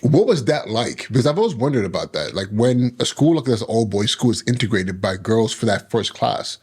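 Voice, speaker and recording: A man's voice: fairly low pitch, big pitch swings, brisk, a little mellow, breathy edge. A man hosting a podcast who asks his guest curious, probing questions. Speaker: podcast host. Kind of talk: interview conversation.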